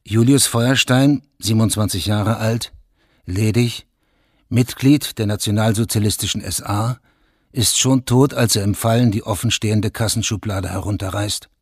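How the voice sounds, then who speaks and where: A man's voice: lowish voice, fairly even intonation, normal speed, slightly rough, no room sound, studio quality. A man narrating a scripted radio drama in a sober, matter-of-fact manner. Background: music.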